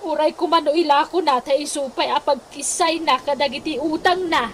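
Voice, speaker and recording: A woman's voice: high-pitched, normal speed, lightly acted. A woman playing a disapproving older mother in a radio comedy-drama, preachy and reproving, with an Ilocano accent. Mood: worried. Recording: clearly noisy audio.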